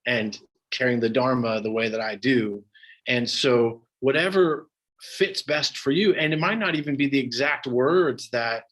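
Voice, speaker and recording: Laid-back male meditation teacher. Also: medium pitch. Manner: relaxed, casual, conversational, many fillers. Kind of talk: dharma talk with audience questions.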